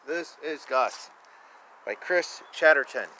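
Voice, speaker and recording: A man reading children's picture books aloud in a playful, expressive way, with casual asides and repeated phrases. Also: fairly high pitch, slow. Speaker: children's book reader. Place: outdoors. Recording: noisy outdoor ambience. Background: outdoor ambience, animal and vehicle sounds.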